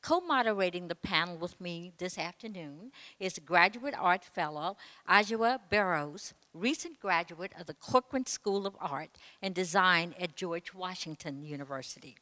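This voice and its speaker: A woman co-moderating a panel, reading a prepared introduction in a formal, measured way into a handheld mic in an art gallery. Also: on the low side, lively intonation, bright, forceful tone. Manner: formal, measured, list-like delivery. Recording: reverberant gallery room.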